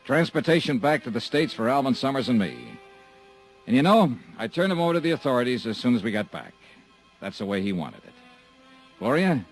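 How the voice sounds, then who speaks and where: A man's voice: mid-range voice, sing-song, fairly quick, faint music behind, rough recording. An actor playing a hard-boiled male insurance investigator who narrates a 1950s radio drama in a dry, tough manner. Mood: narrating. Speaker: narrator and lead.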